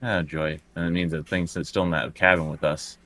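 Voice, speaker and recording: Man playing stammering, spooked low-voiced college guy. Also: level tone, sharp tone. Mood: alarmed, insistent. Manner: stammering, halting, repeats himself. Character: frightened, insistent male college student in horror game.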